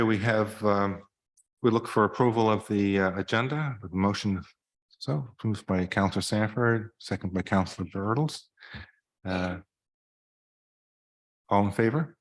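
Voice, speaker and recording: A deputy mayor chairing a town council meeting, speaking haltingly with many pauses. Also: male voice, deep voice. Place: council chamber.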